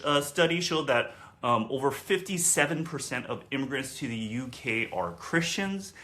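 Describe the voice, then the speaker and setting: Hesitant American man with a bright voice. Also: mid-range voice. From United States. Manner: hesitant, many "uh" and "um" fillers and restarts. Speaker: theologian, workshop speaker. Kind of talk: recorded workshop talk.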